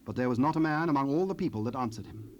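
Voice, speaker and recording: Casting: male scripture narrator, mid-range, solemn and measured, old cassette noise with ambient effects behind. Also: mid-range voice, expressive, highs cut off. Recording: noisy cassette rip, ambient sound.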